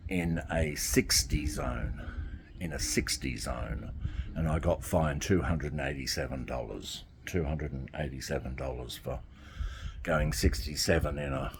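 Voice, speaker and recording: Very deep-voiced man in his sixties. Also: gravelly, slight background noise. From Australia. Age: 60s. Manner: rambling, repeats himself. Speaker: vlogger. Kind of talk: casual monologue to camera. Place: outdoors by a garden.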